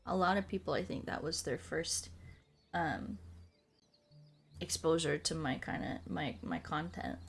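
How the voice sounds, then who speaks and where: A sleepy American woman chatting casually as she streams a puzzle game, soft-spoken and relaxed, musing and answering viewers. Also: deep voice, a little slow, long pauses, a little hiss or hum. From United States. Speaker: ASMR creator and live streamer. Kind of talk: casual chat while playing a puzzle game, reading and answering viewers' comments. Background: soft music.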